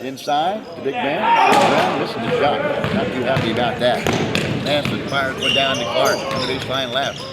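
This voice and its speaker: A man announcing basketball play by play in a brisk manner, calling the action as it happens. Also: mid-range voice, very animated, heavy background noise. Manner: brisk, talks over the action. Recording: loud crowd and bouncing balls behind. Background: gym crowd and basketball bounces.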